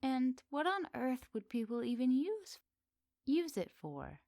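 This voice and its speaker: Woman playing a slow, teasing flirty witch. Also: high-pitched, vocal fry, dry recording, clean studio sound, heavily acted. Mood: curious, amazed. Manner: teasing, coy, expressive with soft pauses. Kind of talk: ASMR roleplay, addressed intimately to the listener.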